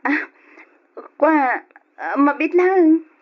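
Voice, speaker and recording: Irene, an emotional, pleading wife. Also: female voice, sits high, animated, extremely slow, heavily acted. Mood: hesitant, uneasy. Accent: Ilocano. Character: Irene, emotional wife and daughter, pleading, torn over money. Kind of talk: old Ilocano radio drama acting. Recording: dull, lo-fi old radio recording.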